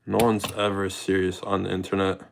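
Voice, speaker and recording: A young male streamer talking to his chat while out in public. His voice sits very low with a gravelly edge. He keeps it laid-back and casual, thanking viewers by name. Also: flat delivery, fairly quick, heavy background noise. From mixed Jamaican and British heritage. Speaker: IRL streamer.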